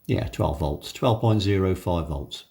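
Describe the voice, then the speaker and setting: A man presents a hobby demonstration. His delivery is casual and unhurried, with hesitations as he thinks out loud while he works. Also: deep voice, vocal fry, slightly rough. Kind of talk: casual hands-on demonstration narration.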